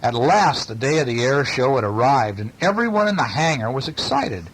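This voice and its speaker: A middle-aged man, a father chatting and reading casually to a child in a gentle, playful way, often asking little questions. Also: medium pitch, expressive, normal speed. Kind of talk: casual chat and bedtime story reading to a child. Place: at home, lying down beside a child. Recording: noisy amateur home recording.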